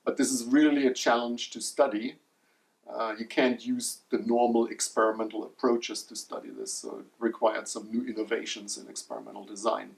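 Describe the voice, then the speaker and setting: A man who is a scientist, explaining his lab's research in a measured way in an interview. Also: medium pitch, level tone, creaky, recorded from a distance. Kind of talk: documentary interview.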